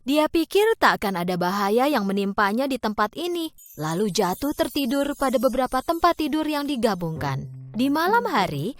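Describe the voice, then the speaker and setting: A woman narrating a children's fairy tale from a script, in an expressive storytelling manner. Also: on the high side.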